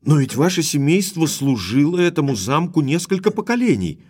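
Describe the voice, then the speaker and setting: Lively, higher-voiced gentleman heir. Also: normal speed. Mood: questioning, concerned. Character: young gentleman heir to a gloomy family castle. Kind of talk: audio drama acting.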